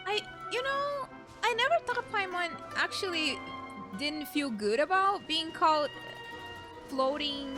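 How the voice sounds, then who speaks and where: Young woman streamer chatting thru a game, high bright voice, rambly, soft game music behind. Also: unhurried. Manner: giggly, rambling, expressive. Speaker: gaming streamer. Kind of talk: casual let's play commentary.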